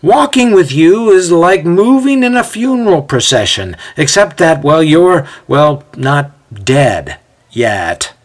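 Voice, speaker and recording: A man's voice: a little high, sing-song, unhurried, pitched above the performer's own voice. A man voicing an impatient, sarcastic wiry man in an audio storybook, with a haughty, dry, theatrical manner. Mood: impatient, mocking.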